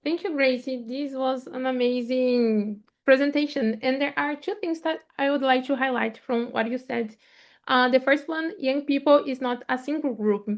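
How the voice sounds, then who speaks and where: A woman whose first language is Portuguese, moderating an online webinar over a video call in an enthusiastic, deliberate way. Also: high voice.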